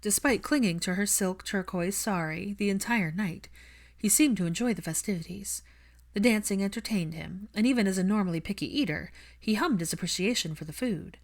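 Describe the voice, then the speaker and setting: A woman's voice: medium pitch, fairly quick. A woman reading fiction aloud as an audiobook narrator, in a scripted storytelling style.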